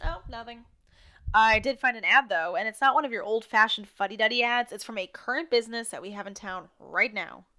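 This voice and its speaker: A female co-host in a radio drama, with a fairly high, sharp-edged voice. She rambles with real conviction, wary of those in power, and keeps circling back to argue the other side. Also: brisk. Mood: dry. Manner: impassioned, argues back and forth with herself. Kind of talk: audio drama as casual radio broadcast.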